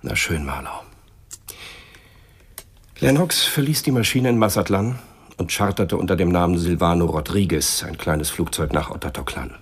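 Low, husky, menacing police inspector. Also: male voice, expressive, moderate pace, exaggerated voice. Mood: smug, pressing. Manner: condescending, threatening. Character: gruff, menacing male police inspector, smugly hostile. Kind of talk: radio crime drama dialogue.

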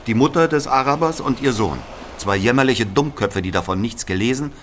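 A man's voice: mid-range voice, lively intonation, a little fast, slight background noise, lightly acted. A man playing a brooding Algerian narrator who recounts his life in a literary audio drama. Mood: bitter. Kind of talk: dramatic first-person narration.

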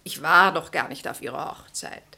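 A woman's voice: sits low, moderate pace, creaky, pushed and bright, full character voice. An actress in a German radio play voicing a meddling, chatty and self-assured old society lady, inquisitive and bossy in a theatrical way. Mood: breezy, effusive. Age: older.

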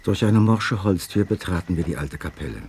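A man in a radio play acting a detective's loyal gentleman companion, narrating and speaking in a composed storytelling manner. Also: low voice, animated, a little fast. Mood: composed, suspenseful narration.

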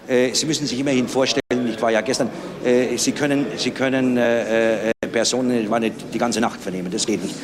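A man answering a press interviewer as a senior official, haltingly, with many ers and tag questions. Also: medium pitch, fairly even intonation. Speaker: senior public prosecutor. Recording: noisy location interview with traffic.

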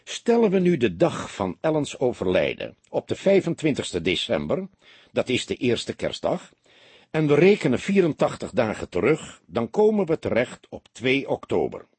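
A man voicing an older, experienced police detective in an audiobook, a patient mentor who explains deliberately with mild reproach. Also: mid-range voice, huge pitch swings, darkish tone, slightly rough, no room sound, exaggerated voice. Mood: lecturing. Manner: didactic, deliberate, mildly reproachful. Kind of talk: audiobook character dialogue.

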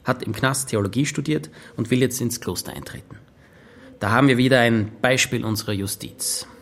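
An actor playing a police detective who reports facts and opinions in a radio crime drama. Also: male voice, medium pitch, expressive, a little fast, vocal fry. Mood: factual reporting. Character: matter-of-fact male police detective briefing his boss.

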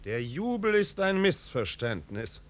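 An actor playing an impatient, melancholy marquis in a 1950s radio play, with a curt, commanding, sardonic manner. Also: male voice, sits high, sing-song, measured pace. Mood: gloomy. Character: impatient, melancholy aristocratic marquis, master of the house. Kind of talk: 1950s German radio drama acting. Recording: 1950s radio recording with hiss.

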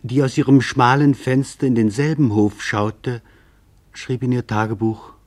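A man reading a scripted documentary radio narration in a sober, measured, literary manner. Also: mid-range voice, fairly even intonation, darkish tone. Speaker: narrator, author-reporter.

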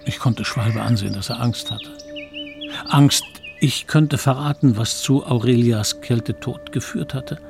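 A man's voice: medium pitch, unhurried, gravelly, over music. A man acting as a reflective, confessional narrator who recalls his guilty boyhood in a radio drama. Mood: remorseful, reflective. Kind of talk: scripted first-person radio drama narration.

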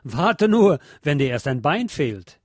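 A man's voice: a little high, big pitch swings, slow, no echo, studio quality, heavily acted. A man voicing a furious, scornful soldier in a story reading, hissing, mocking and bullying as he speaks. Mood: sneering.

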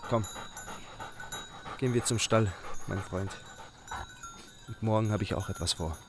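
A male actor playing a naive, earnest fifteen-year-old Albanian shepherd boy with simple, halting speech in a German radio drama. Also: lowish voice, noisy background. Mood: wistful, earnest.

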